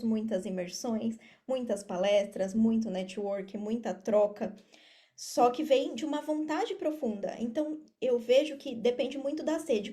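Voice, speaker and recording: A woman's voice: a little high, warm tone. A Brazilian woman in her thirties speaking Portuguese with a Brazilian accent, explaining things informally as an interview guest, with emphatic repetition. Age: 30s. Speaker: podcast guest, affiliate marketer and mentor. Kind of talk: conversational interview answers.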